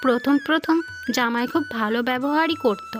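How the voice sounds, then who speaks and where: A woman acts out an earnest village woman in a cartoon folktale. The voice is high-pitched and conversational, with a gentle manner. Music runs underneath. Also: moderate pace, lightly acted. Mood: hurt. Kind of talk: Bengali moral-story cartoon voice acting.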